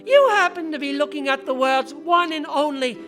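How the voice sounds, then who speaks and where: A woman's voice: sits high, normal speed, over music, pitched above the performer's own voice, lightly acted. A woman voicing a tiny male leprechaun vampire with a lilt, wheedling and a bit boastful.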